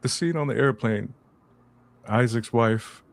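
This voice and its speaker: A man's voice: medium pitch, vocal fry, slight background noise. Laid-back slangy man chatting. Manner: laid-back slangy banter, drawn-out storytelling. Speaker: podcast co-host. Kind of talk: casual chat.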